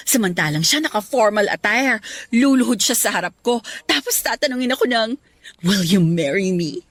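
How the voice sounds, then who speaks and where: A woman's voice: on the high side, no room sound. A Filipino woman playing a chatty, clingy young bride-to-be in a Tagalog radio romance drama, gushing and teasing.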